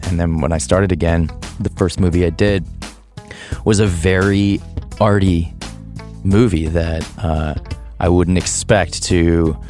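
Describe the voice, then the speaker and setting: Deep-voiced man musing on a podcast. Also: darkish tone. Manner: thoughtful, hesitant, with restarts and laughs. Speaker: podcast host. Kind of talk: reflective podcast monologue.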